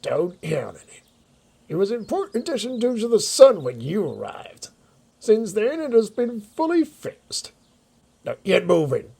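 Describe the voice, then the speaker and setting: A stern company manager acted by a man with a high, swooping voice full of creak. He sounds authoritative and exasperated, scolding in audio-drama style with a slightly rough edge. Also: huge pitch swings, a little slow, mellow, heavily acted. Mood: brisk, stern.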